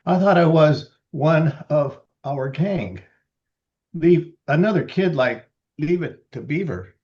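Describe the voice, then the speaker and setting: A man in his seventies from Los Angeles reads his own poems slowly and deliberately, with halting, chatty asides between them. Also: on the high side, animated, darkish tone, lo-fi sound. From Los Angeles, USA. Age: 70s. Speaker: poet reading his libretto. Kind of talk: poetry reading with casual asides.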